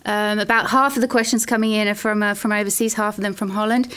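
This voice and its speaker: A woman's voice: medium pitch, flat delivery, fast talker, fairly bright tone, smooth. A British woman lecturer hosting a live online open day and passing viewers' questions to a panel. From Britain. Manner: friendly, upbeat, moderating questions. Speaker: host and lecturer.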